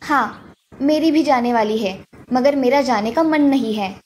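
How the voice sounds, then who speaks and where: A woman's voice: high voice, unhurried, a little hiss or hum. A woman voicing a young college student in a cartoon story, an elder sister who is curt with her sibling but protective. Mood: snippy. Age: young adult. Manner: curt with her sister, anxious when worried.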